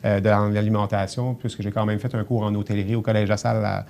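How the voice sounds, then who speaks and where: Earnest Québécois man, low voice. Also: little pitch movement, fast talker, creaky, mellow. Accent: Québécois French. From Abitibi, Quebec. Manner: earnest, many hesitations and "euh" fillers. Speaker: grocery store general manager, guest. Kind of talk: casual TV interview.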